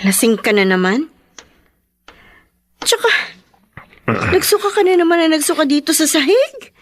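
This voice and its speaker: A woman's voice: high-pitched, sing-song, normal speed, no echo. An actress playing an anguished, bitter young adult daughter of an alcoholic father in an emotional Filipino radio drama. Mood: exasperated. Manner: emotional, pleading outbursts.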